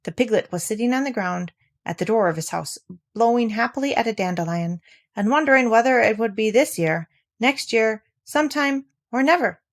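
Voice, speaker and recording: A woman reading a children's storybook aloud in a gentle, measured manner. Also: a little high, animated. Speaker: audiobook reader. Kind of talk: storybook narration.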